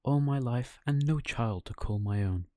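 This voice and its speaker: A man acts out a kindly woodcarver father from a storybook. He is loving and heartfelt, with a mellow voice of middling pitch and a dry sound. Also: normal speed, no room sound, close to an everyday voice. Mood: wistful.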